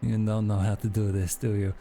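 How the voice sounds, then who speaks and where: A man's voice: fairly low pitch, level tone, brisk, warm tone, faint music behind, close to an everyday voice. A man voicing an exasperated, threatening man who guards a house, in a curt manner. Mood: exasperated, menacing.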